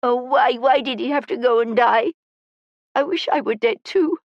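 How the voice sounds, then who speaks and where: A woman's voice: fairly high pitch, sing-song, unhurried, husky, dry recording, highs cut off, voice pushed up, exaggerated voice. A woman voicing a frightened, polite young lad in an audiobook, with a small, shaky, pleading voice. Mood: despairing.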